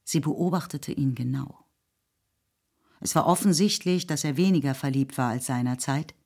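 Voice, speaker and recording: A woman's voice: extremely low pitch, moderate pace, vocal fry, darkish tone. A woman narrating a radio drama in a scripted literary style. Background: music.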